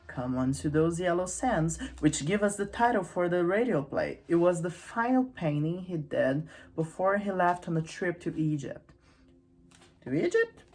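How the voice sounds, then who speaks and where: A woman's voice: fairly low pitch, darkish tone. A woman hosting a casual, humorous literary commentary, with wry, irreverent asides in a conversational way. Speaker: host of a literary channel.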